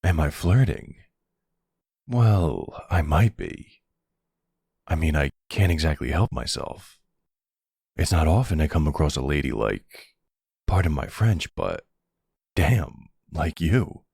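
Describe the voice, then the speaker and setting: A man playing a charming middle-aged mafia boss, suave and flirtatious, with a gravelly, husky voice, in an intimate ASMR roleplay. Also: rumbling low, sing-song, slow pace, long pauses, a little mellow, no echo, studio quality, exaggerated voice. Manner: suave, courteous, teasing, uses pet names.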